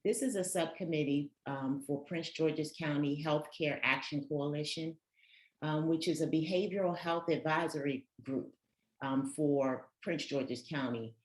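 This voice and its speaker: A woman with a very low voice introduces an online panel, stopping often on fillers as she finds her words. Her voice rings in a roomy, echoing space. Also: measured pace. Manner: hesitant, many "um" fillers. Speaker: subcommittee co-chair and moderator. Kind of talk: hosting a virtual learning session.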